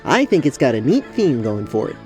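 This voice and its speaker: Small goat-man monk, male actor, easygoing and hesitant, animated intonation, fantasy roleplay drama with clear music underneath. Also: medium pitch, brisk. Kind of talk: tabletop roleplay audio drama.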